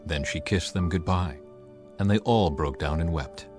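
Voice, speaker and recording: A man's voice: deep voice, normal speed, over music. A man giving a scripted, dramatic narration of a scripture audiobook.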